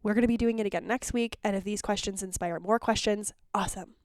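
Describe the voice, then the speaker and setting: A woman's voice: mid-range voice, steady tone, fast talker, a little mellow, dry recording, studio quality. A woman hosting her podcast in a casual, chatty solo monologue, sounding congested and phlegmy. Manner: chatty and candid, with restarts. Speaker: podcast host.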